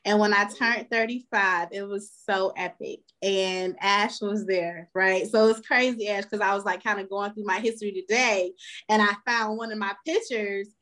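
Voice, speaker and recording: A woman's voice: mid-range voice, fairly even intonation, normal speed, fairly bright tone. A woman in her forties with an American accent, co-hosting a podcast and telling personal stories in casual, easygoing slang. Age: 40s. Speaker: podcast co-host.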